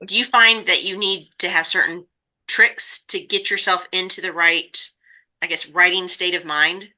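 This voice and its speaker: A woman's voice: on the low side, steady tone, normal speed, bright, forceful tone, poor audio. A woman hosting a podcast, interviewing in a conversational style. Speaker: podcast host.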